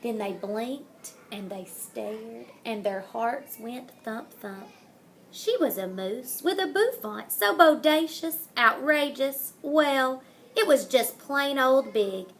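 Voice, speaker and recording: A woman reading a children's picture book aloud in a dramatic, deliberate manner, savoring the rhymes and wordplay. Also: fairly high pitch, lively intonation, a little hiss or hum. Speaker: storybook reader.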